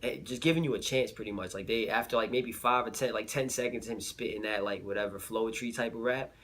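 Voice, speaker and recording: Laid-back, slightly sick vlogger, low voice. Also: male voice, fairly even intonation, fast, fairly bright tone. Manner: laid-back, filler-heavy slang. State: a little sick. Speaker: battle rap reviewer. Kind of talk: casual recap vlog.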